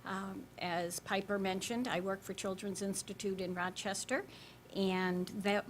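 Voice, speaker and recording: A woman in her fifties giving a measured, formal candidate statement as a school board member. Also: medium pitch, a little hiss or hum. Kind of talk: candidate statement at a public forum.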